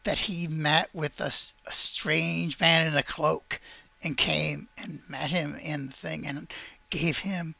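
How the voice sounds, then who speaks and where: Woman playing a gossipy, halting teenage village girl. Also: sits low, flat delivery, vocal fry, sharp tone, no echo, muffled recording, heavily acted. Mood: conspiratorial. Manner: halting, boastful about knowing everything. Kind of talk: tabletop roleplay.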